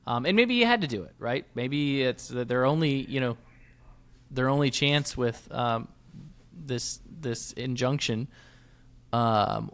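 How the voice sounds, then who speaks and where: A man with an ordinary mid-range voice co-hosts a motorsports podcast. He talks casually and conversationally, offering opinionated analysis in the manner of sports chat. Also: medium pitch.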